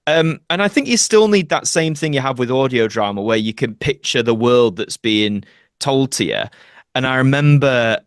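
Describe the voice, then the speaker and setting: A man's voice: mid-range voice, smooth, no echo, clean studio sound. A young man talking casually as a podcast guest, chatty and full of anecdote. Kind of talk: casual interview chat, reminiscing.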